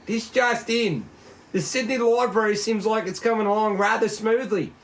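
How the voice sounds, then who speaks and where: A man's voice: high voice, normal speed. A man voicing a chirpy, gushing newswoman in an amateur script read, with some noise behind. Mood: upbeat, gushing. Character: chirpy newswoman gushing over a late pop legend. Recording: noisy room recording.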